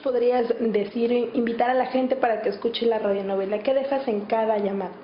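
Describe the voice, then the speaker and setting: A woman's voice: on the high side, fairly even intonation, a little fast. A Mexican woman working as a reporter, interviewing and presenting. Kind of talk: interview questions and scripted reporting. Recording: slightly muffled, faint noise.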